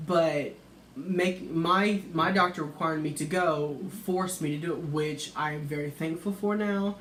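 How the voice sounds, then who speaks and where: A soft-spoken woman vlogger in casual, sassy chat, talking about herself. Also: low voice, measured pace. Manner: chatty, sassy, animated. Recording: echoey room with background noise.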